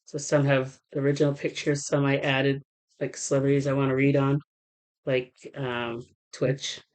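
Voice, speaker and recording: A woman tarot reader talking casually to her viewers, halting with many fillers and restarts while getting over a cold. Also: very deep voice, flat delivery, a little mellow. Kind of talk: casual rambling vlog talk.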